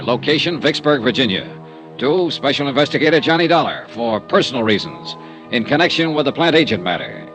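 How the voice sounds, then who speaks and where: A man's voice: medium pitch, fairly bright tone. A man acting a cool, terse insurance investigator, narrating his case in 1950s radio detective style.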